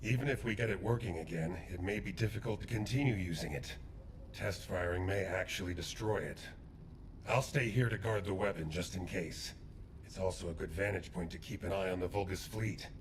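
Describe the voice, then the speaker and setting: A steady male soldier from a video game, voiced by a man, speaking in a calm, measured, earnest way. His voice sits very low. Faint noise runs underneath. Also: close to an everyday voice.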